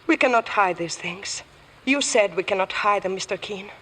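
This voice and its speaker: An actress playing a proud, world-weary widow accused of murder, speaking in a measured, guarded, formal way in an old radio drama. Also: female voice, mid-range voice, a bit bright, lightly acted. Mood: weary, defiant. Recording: noisy vintage radio broadcast.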